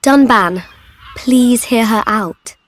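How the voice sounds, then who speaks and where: A woman playing a gentle, affectionate young woman in a video game cutscene. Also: fairly high pitch, slow pace. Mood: gentle pleading.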